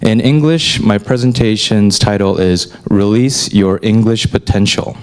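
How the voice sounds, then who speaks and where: A man in his forties with a slightly low voice gives a lecture to students. He raised his voice in North America and keeps a relaxed, conversational tone, often pausing on "uh" between phrases. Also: clear, resonant tone. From Korea, raised in North America. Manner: relaxed and conversational, with frequent "uh" fillers. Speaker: newspaper chief editor giving a talk. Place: lecture hall.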